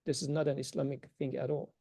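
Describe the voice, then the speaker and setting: Earnest man teaching in a dark, dry tone. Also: mid-range voice, little pitch movement, fast, creaky, no echo. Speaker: religious teacher giving a talk. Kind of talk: informal religious lecture with rhetorical questions.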